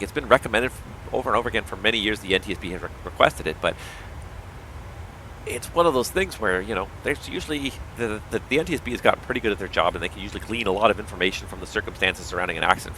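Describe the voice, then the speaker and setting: A man's voice: on the low side, animated, quick pace, bright, forceful tone, a little hiss or hum, rough recording. A man with a strained, tiring voice, a pilot streaming a livestream and explaining things at length with firm opinions. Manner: explanatory, opinionated, talks in long running thoughts. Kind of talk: casual livestream commentary.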